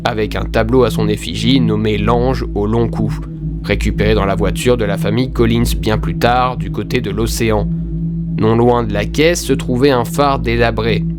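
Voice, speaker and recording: A man's voice: on the low side, steady tone, normal speed. A man giving casual, slangy horror commentary as a YouTube host, talking conversationally. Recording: music behind the voice. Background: music.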